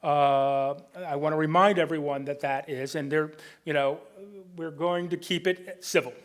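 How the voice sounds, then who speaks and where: A man with a booming voice moderating a town candidates night, talking with many ums and restarts. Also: steady tone, moderate pace. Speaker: debate moderator. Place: public meeting room.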